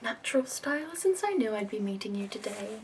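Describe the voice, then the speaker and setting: A woman in her twenties voicing a prim, fussy, upbeat woman makeup escort from the capital, in a soft whispered ASMR roleplay. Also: mid-range voice, lively intonation, brisk, noisy background, lightly acted. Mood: prim, soothing.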